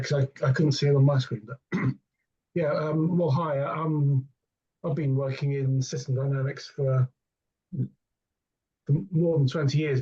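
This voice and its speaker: A man introducing himself on a video call, hesitant and often clearing his throat. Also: mid-range voice, warm tone. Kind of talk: self-introduction in online meeting. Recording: muffled video call audio.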